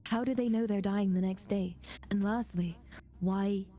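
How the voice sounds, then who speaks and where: A woman's voice: medium pitch, fairly even intonation, a little slow, pitched below the performer's own voice, natural voice. A woman voicing an anxious eighty-two-year-old in a whispered, solemn scary-story confession for ASMR. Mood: anxious, bewildered. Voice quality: breathy, hushed whisper. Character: anxious 82-year-old grandparent haunted by mysterious sympathy cards.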